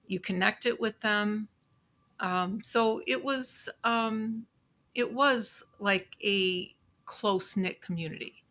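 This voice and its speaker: Chicago woman in her sixties, slow unhurried pace, bright tone, soft and measured, pausing often. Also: mid-range voice. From Chicago, USA. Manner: soft-spoken, measured, with many pauses and "um"s. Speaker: legal assistant and podcast guest. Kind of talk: casual interview chat.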